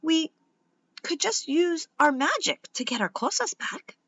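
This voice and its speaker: Woman reading as a spirited, high-pitched young twin girl. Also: measured pace, no room sound, muffled recording, exaggerated voice. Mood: excited. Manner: excitable, emphatic, sprinkles in Spanish words.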